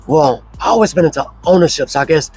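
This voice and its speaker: A man with a hoarse voice, casually telling his life story as an interview guest, slow and relaxed with many fillers. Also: a little high.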